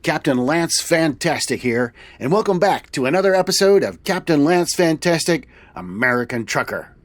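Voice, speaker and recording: A man's voice: on the high side, animated, moderate pace, dry recording. A man hosting a show in character as a trucker captain, with a cheerful, folksy manner.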